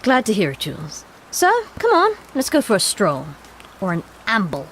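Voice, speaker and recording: A woman's voice: medium pitch, huge pitch swings, moderate pace, a little hiss or hum, rough recording. A woman playing an eccentric, relentlessly enthusiastic time-traveling doctor in an audio drama, grandiose, playful and wordy. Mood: cheerful, playful.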